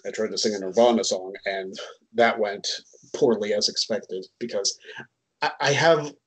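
A man's voice: fairly low pitch, moderate pace, slightly rough, low-quality recording. A man in his thirties co-hosting a casual chat on a headset, rambling and self-deprecating with many ums. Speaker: co-host. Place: home room, indoors.

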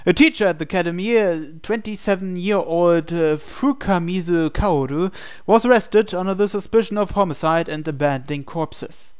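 A man's voice: high voice, normal speed, hardly any pauses, smooth. A man reading a visual novel aloud as dramatic narration.

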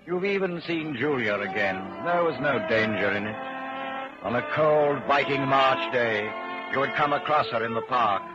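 A grave male storyteller played by an actor narrates a 1940s radio drama. His deliberate delivery swings widely in pitch. Loud music plays behind the muffled old recording. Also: animated, lightly acted. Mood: grave, brooding. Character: grave male storyteller addressing the hero as you.

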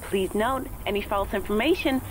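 A woman playing a polite, formal automated hotline announcer in a video game, with a measured, scripted delivery. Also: mid-range voice, noisy background, lightly acted. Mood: formal, courteous. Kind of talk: scripted recorded phone message.

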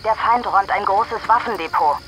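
An actress playing a stern army dispatcher who gives clipped, formal orders over military radio in a video game. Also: female voice, mid-range voice, normal speed, a bit bright, slight background noise, low-quality recording. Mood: stern, reproachful.

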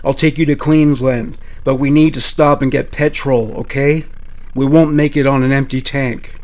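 A man's voice: mid-range voice, steady tone, moderate pace, darkish tone, lightly acted. A man voicing a frightened, obliging and protective sixteen-year-old girl with an anxious, pleading way of talking.

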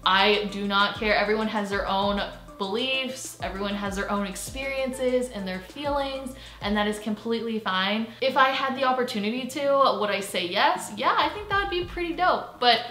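A woman's voice: a little high, normal speed, bright, forceful tone, faint music behind. A young woman talking casually and candidly to the camera from her bedroom, rambling with frequent likes and ums. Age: young adult. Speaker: vlogger. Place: bedroom indoors. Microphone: camera at medium distance. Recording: roomy bedroom echo.

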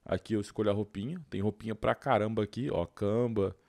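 A man's voice: on the low side, fairly even intonation, brisk, creaky, a little mellow, no echo. A young man with a Brazilian accent giving casual gameplay commentary, informal and slangy, thinking aloud. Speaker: gaming video host.

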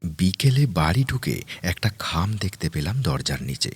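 A man narrating a first-person ghost story in a dramatic, suspenseful way, with deliberate pauses and repeated words. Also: deep voice, big pitch swings, husky. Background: music.

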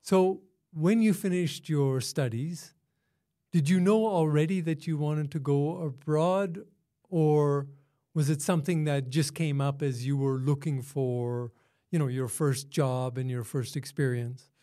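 A man hosting an interview podcast, reading a scripted intro and then asking measured questions. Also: a little high, fairly even intonation, dark tone. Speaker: podcast host.

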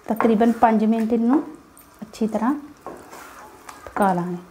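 A woman's voice: on the high side, slow, warm tone, poor audio. A woman with a Punjabi accent narrates a recipe step by step as a home cook, instructing her viewers directly. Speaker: home cook, cooking channel host. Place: home kitchen, beside the stove. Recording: background noise of frying and stirring. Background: sizzling pan, stirring sounds.

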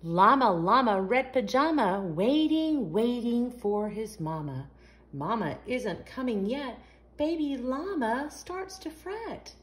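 Female storytime host for young kids, lively swooping delivery at an unhurried pace, sing-song read-aloud. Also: on the high side. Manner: sing-song, asks the young listeners questions. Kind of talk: expressive read-aloud of a picture book for young children.

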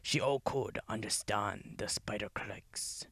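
A man whispering a story in a slow, deadpan way. His voice is low, gravelly and very husky, and the sound is dry and close. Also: no room sound. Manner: soft breathy whisper, deadpan. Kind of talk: whispered ASMR-style storytelling.

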